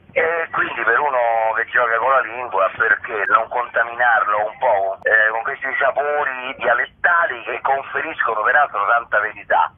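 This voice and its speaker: Thin telephone sound, man, rough husky voice, theatre director, explaining in an interview. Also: on the low side. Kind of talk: interview answers. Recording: tinny narrow-band phone line.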